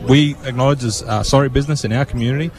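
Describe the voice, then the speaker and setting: A man's voice: medium pitch, very fast. An Australian man hosting a radio interview in a friendly, informal way with many fillers, broadcasting outdoors at a public event. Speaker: radio host. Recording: outdoor broadcast with crowd murmur.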